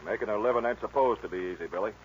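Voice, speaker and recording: An actor playing an earnest, steady American lawman in a 1940s radio western, plain-spoken and urging. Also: fairly low pitch, rapid-fire, lightly acted. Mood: earnest, warning. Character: earnest, steady lawman friend warning a young gunfighter. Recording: dull, hissy old radio recording.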